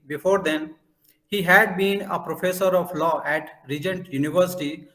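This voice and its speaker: A man's voice: fairly high pitch, fairly bright tone, low-quality recording. A man hosting a university session, formally reading out a guest speaker's introduction with occasional slips.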